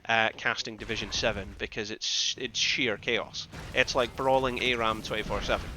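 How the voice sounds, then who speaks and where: A man from the Scottish Highlands with a Scottish accent, casting a game live in a conversational, rambling way and repeating phrases for emphasis. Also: fairly even intonation, normal speed, pushed and bright, a little hiss or hum, rough recording. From Scottish Highlands, northeast Scotland. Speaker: esports caster and streamer. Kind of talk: live game commentary with casual asides to chat.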